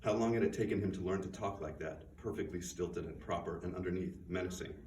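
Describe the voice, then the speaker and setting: A man, an author, reading his own fiction aloud at a bookstore event in a measured, subdued way. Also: low-pitched, darkish tone. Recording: roomy, distant, faint noise.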